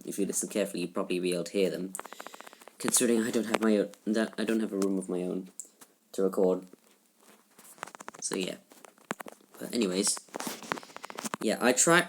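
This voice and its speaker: A teenage boy giving casual gameplay commentary in a halting, rambling way, full of restarts, recorded in a shared room at home. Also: lowish voice, noisy background. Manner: subdued, somewhat flat delivery. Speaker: gaming YouTuber.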